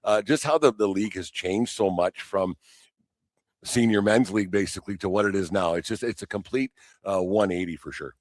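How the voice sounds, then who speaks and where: A man with a low voice hosts a casual sports interview. He talks quickly and rambles, doubling back on phrases as he builds a question. The sound is dry. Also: no room sound. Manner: rambling, repeats and restarts phrases mid-question. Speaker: sports podcast host.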